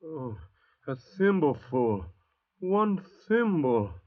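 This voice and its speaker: Belligerent young convict in old radio play, drawn-out sneering delivery, thin phone-like recording. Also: male voice, on the high side, sing-song, heavily acted. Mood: defiant, bitter. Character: surly, hot-tempered, defiant younger convict. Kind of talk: old-time radio drama acting.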